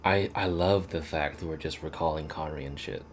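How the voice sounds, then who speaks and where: Deep-voiced male game streamer. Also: steady tone, a little fast, slight background noise. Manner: casual, swearing, reactive.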